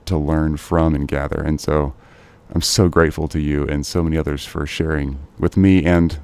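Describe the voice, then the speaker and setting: Deep-voiced man hosting an upbeat chat. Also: level tone, normal speed, darkish tone, clear, resonant tone. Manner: upbeat, encouraging, lots of fillers. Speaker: podcast host. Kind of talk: casual interview.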